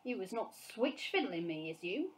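A big, greedy bully of a giant, put on by a woman reading aloud, sneers in gruff, broken grammar. He is boastful and rude in a storybook way, and his voice sits at an average pitch. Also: very animated, moderate pace, natural voice. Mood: threatening. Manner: gruff, jeering, mangled grammar.

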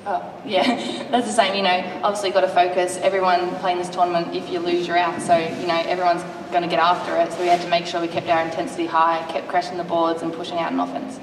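A young adult woman, a college basketball player, giving quick, upbeat answers that lean on "you know, obviously.". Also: lowish voice, completely flat delivery. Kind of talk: press conference answers. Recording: echoey hall, distant mic, room noise.

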